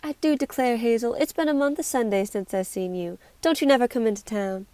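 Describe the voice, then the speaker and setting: Sociable American townswoman, acted by a woman: smooth, dry-sounding, gossipy, amateur stage delivery. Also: fairly high pitch, brisk, mellow, dry recording, close to an everyday voice. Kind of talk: amateur stage-play acting.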